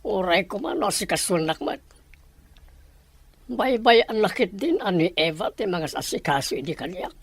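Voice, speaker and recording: A suspicious, dramatic character from a radio family melodrama, voiced by a woman. The speech has an Ilocano accent and very animated, swinging intonation. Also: mid-range voice, normal speed, husky, dry recording. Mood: brooding.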